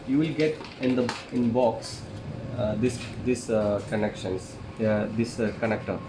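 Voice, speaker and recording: Man giving halting tutorial, echoey noisy room. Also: lowish voice. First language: not English. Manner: halting, repetitive, step-by-step explaining. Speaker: tutorial presenter, installer. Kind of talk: hands-on technical demonstration.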